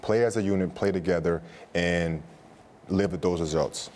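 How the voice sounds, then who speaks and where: A man's voice: low-pitched, normal speed, a little mellow. An American man giving conversational sports analysis as a studio analyst, building points in short repeated phrases. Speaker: studio analyst, former college player.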